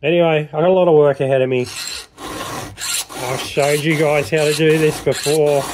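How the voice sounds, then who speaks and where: A man's voice: a little high, little pitch movement, normal speed, background noise. A man giving a casual, matter-of-fact workshop demonstration of filing a chain, talking plainly while he works. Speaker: chainsaw sharpening instructor. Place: workshop bench.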